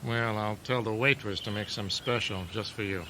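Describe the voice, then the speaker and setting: A man playing a gruff, sarcastic army sergeant in a radio drama. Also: lowish voice, fairly even intonation, normal speed, noisy background, lightly acted. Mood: sardonic. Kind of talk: modern radio drama acting.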